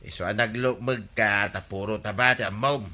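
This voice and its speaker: An actor with an Ilocano accent playing an exasperated village husband who complains emphatically in a radio comedy drama. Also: male voice, lowish voice, fairly even intonation, moderate pace, bright, forceful tone. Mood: incredulous.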